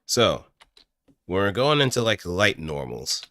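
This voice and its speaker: A man with a low, bright voice explains things casually, as an amateur voice actor would in a tutorial. His delivery swings widely and he thinks aloud as he goes. The sound is close and dry, straight into a studio microphone. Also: big pitch swings, slow pace, dry recording. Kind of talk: casual explanatory talk, improvised voice acting tutorial. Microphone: close studio microphone through audio interface.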